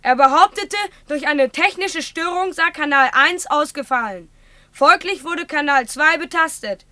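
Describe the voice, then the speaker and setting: Stern child court clerk for radio drama: high, sharp voice, pompous, dry sound. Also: female voice, measured pace, dry recording. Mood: officious, stern. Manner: officious, formal recitation. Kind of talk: German radio play acting, formal reading.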